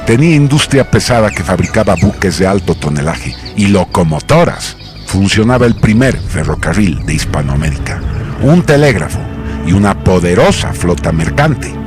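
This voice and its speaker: A man's voice: low voice, sing-song, moderate pace. A man narrating a radio drama from a script in a solemn, declamatory, dramatic way. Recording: loud music bed under the narration.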